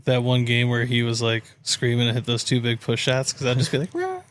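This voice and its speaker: Lively, teasing male podcast co-host. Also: mid-range voice, fairly quick. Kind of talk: casual sports banter.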